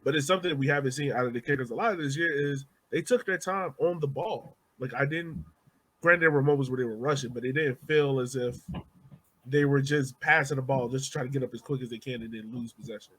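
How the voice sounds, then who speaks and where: A man with a medium-pitched voice hosts a soccer podcast. He leads the discussion in a casual, conversational way, as in relaxed sports chat among co-hosts. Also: brisk, slightly rough, low-quality recording.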